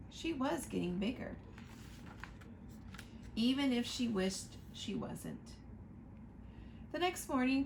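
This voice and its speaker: A woman reading a picture book aloud to children, slow and expressive, pointing out the pictures as she goes. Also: on the high side, vocal fry, noisy background. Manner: slow, expressive storytime reading, asking listeners to look at pictures.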